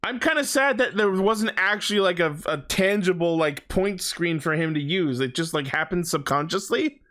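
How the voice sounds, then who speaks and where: A man's voice: sits high, moderate pace, a bit bright. A man co-hosting a podcast, giving a casual fan recap in an opinionated, sweary way. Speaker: podcast host.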